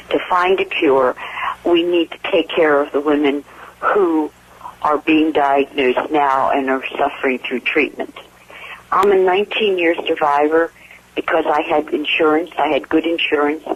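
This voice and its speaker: A middle-aged American woman giving earnest, emphatic remarks as an advocacy leader on a telephone conference call, phrasing things deliberately. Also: low voice, slightly rough. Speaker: advocacy group president and founder. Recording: muffled, noisy telephone conference line.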